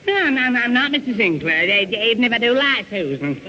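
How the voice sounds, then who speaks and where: A gormless, lovesick Northern English lad, with a high, extremely bright voice. He stammers and muddles his words in a radio comedy, ringing in a reverberant hall. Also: very animated, fast talker, full character voice. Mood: muddled. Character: gormless, lovestruck, tongue-tied young Northern man. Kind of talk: old-time radio comedy acting. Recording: dull, reverberant vintage radio recording, faint hiss.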